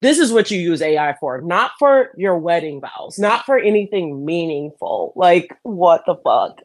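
A woman's voice: on the low side, animated, unhurried, no room sound. A woman co-hosting a casual panel chat, speaking bluntly and opinionatedly with plenty of swearing. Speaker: podcast co-host.

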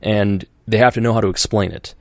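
A man's voice: lowish voice, fairly even intonation, a little fast, no room sound, clean studio sound. A man hosting a podcast in casual chat, rambling with many fillers and restarts and acting out quoted voices. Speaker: podcast host.

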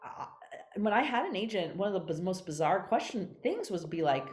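A woman's voice: mid-range voice, fairly quick, reverberant, soft background music. A woman playwright being interviewed, talking candidly and animatedly and restarting her sentences often. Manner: animated, acts out quoted voices, many restarts. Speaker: playwright guest.

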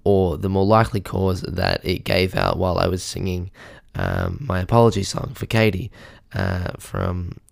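A young man with a hoarse voice hosting a podcast, delivering a rambling, deadpan, self-pitying comedic monologue into a mic with a pop filter. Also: low voice, moderate pace, no room sound, studio quality. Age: young adult. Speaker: podcast host.

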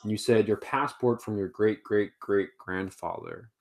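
A man's voice: fairly low pitch, unhurried, vocal fry, a little mellow. A man co-hosting a podcast who asks easygoing follow-up questions and often answers with short agreements. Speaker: podcast co-host asking questions. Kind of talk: casual podcast interview.

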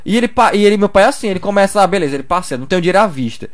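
A man's voice: sits high, expressive, very fast. A young man in Brazil, telling stories in a loose, slangy casual chat full of "cara". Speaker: podcast guest.

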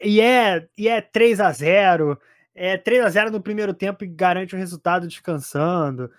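High-voiced Brazilian man talking football. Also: moderate pace, dry recording, studio quality. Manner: animated, slangy, emphatic, repeats phrases. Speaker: football podcast commentator. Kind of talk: casual football chat.

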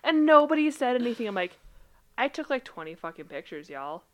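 A woman's voice: fairly high pitch, very animated, a little fast, dull audio. A woman who is a licensed therapist and a podcast co-host, talking in a blunt, casual way with swearing and frequent laughter. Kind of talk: casual chat.

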